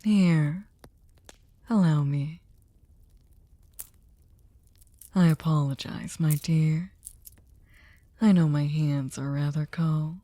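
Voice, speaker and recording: A woman's voice: low voice, fairly even intonation, slow, long pauses, mellow, dry recording. A woman playing a sadistic, seductive aristocratic vampire in an ASMR audio drama, teasing and mock-polite, often slipping into a whisper. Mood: polite, insistent.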